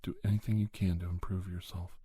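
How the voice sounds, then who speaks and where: A middle-aged man giving soft-spoken, encouraging, folksy advice in an ASMR role-play, often slipping into a whisper. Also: low voice, little pitch movement, fast talker, breathy edge, no room sound. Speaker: podcast host playing an advice-giving doctor. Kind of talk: ASMR, one-sided role-play conversation.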